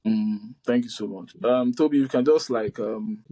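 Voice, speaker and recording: A man's voice: mid-range voice, normal speed, warm tone, rough recording. A man hosting a casual podcast over a video call, talking loosely with many fillers and restarts. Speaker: podcast host.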